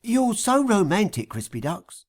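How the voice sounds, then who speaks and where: A flirtatious, bossy schemer of a woman, as a male narrator puts her on, in a comic audiobook. The voice is lifted high and husky, purring and teasing with swooping intonation. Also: big pitch swings, measured pace, heavily acted. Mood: coaxing. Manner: purring, coquettish. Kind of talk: acted audiobook dialogue.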